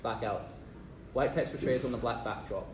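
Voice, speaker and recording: A man's voice: mid-range voice, moderate pace, a loud crowd. A man reading stage directions aloud from a script. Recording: echoey, distant room with noise.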